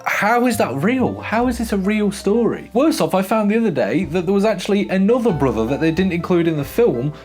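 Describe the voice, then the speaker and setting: Expressive man reviewing a film. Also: sits high, brisk, hardly any pauses, faint music behind. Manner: emphatic, expressive, with frequent restarts. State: recovering from a sore throat. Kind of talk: casual film review monologue.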